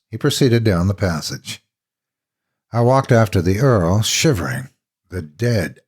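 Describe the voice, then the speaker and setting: A man narrating a scripted horror story in a theatrical, deliberate and ominous manner. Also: deep voice, slightly rough.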